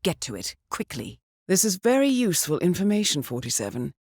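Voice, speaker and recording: An actress playing a poised, dry-witted intelligence handler in a game, with a composed, clipped, wryly understated delivery. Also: female voice, on the low side, sing-song, brisk, a little mellow, dry recording. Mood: cool, urgent. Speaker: mission handler. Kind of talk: scripted game briefing dialogue.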